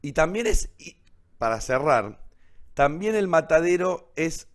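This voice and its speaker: A man's voice: on the high side, big pitch swings, a little slow. A man with an Argentine accent hosting an informal literature talk online, explaining didactically and often checking with tag questions. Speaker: host introducing literature.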